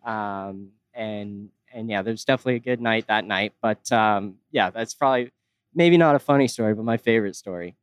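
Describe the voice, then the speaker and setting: A man who is a race car driver, telling a story as an interview guest in a relaxed way with frequent fillers. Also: lowish voice, normal speed, clean tone, no room sound, studio quality.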